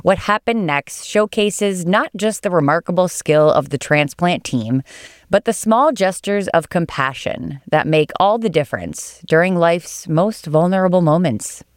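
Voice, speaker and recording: A woman reading scripted documentary narration in a polished, measured way. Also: lowish voice, expressive, dry recording, studio quality. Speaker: narrator and host.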